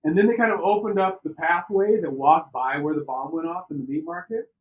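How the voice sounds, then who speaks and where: A middle-aged man from the United States telling personal stories on a podcast in an animated, conversational way, repeating words for emphasis. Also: fairly high pitch, fairly quick, muffled recording. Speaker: podcast interview participant. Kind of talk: personal storytelling.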